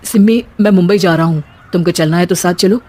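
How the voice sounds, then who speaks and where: A woman's voice: on the low side, brisk, a little mellow, voice pushed down, close to an everyday voice. A woman voicing a young, easygoing husband in a family tale, plain and matter-of-fact.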